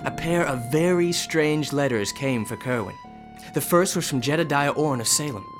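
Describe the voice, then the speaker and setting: A man narrating an eerie historical radio drama as a grave storyteller, formal and deliberate in delivery. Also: on the high side, natural voice. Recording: clear dramatic music underneath.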